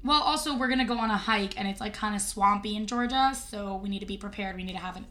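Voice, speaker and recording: A woman's voice: medium pitch, a bit bright. A young woman co-hosting a podcast, bantering and teasing her friend in a casual chat. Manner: teasing, jokey, quick banter. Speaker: podcast co-host.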